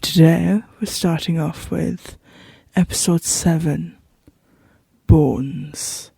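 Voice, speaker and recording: A horror fiction narrator speaking in a measured, musing, slightly formal and theatrical way, addressing the listener directly. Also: mid-range voice, fairly even intonation, vocal fry, warm tone, husky, no echo. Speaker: narrator and host of an audio horror fiction show. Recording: music behind the voice. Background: background music.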